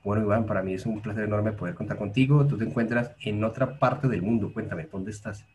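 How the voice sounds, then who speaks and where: A man's voice: lowish voice, a little fast, a little mellow, a little hiss or hum. A man hosting an interview podcast, courteous and formal as he welcomes and questions his guest. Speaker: podcast host. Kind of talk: interview host.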